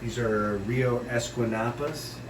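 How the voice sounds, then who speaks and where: Congested man touring his fish room. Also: mid-range voice. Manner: rambling, with many pauses and fillers. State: congested with a runny nose. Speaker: fish breeder giving a tour. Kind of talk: casual walkthrough vlog. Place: home fish room with air conditioning. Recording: echoey room with loud AC hum, mic at a distance.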